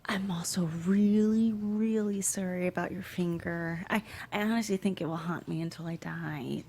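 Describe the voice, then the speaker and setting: A woman actor at a screenplay table read playing a nervous, apologetic young woman on the run, speaking haltingly. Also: on the low side, steady tone, warm tone. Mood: remorseful. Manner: halting, gasps and sighs, nervous laughter. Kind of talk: live table read of a crime comedy screenplay.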